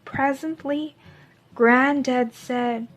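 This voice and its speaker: A woman reading a children's storybook aloud as a teacher, in a deliberate storytelling manner. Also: high voice, steady tone, faint music behind.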